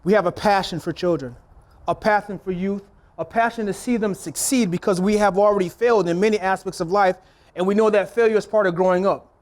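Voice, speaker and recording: A man's voice: high voice, a little fast, darkish tone. A man from a church speaking earnestly to an audience about mentoring children. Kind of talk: encouraging public speech.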